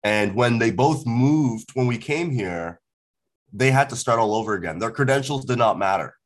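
A man from Ghana, a guest in a casual interview, telling his story in a reflective, measured way. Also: mid-range voice, animated, rough recording.

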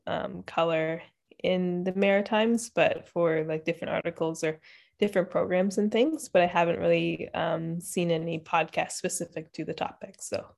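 A woman from Ontario, a podcast guest chatting casually and relaxed, often pausing on "um". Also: fairly low pitch, vocal fry. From Ontario, Canada. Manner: relaxed, many "um" fillers, thinking aloud. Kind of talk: casual interview chat.

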